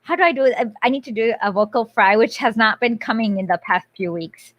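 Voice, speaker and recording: A woman streamer talking casually to her viewers in a rambling, quick way, often interrupting herself. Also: a little high, dry recording, muffled recording. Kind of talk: casual livestream chat.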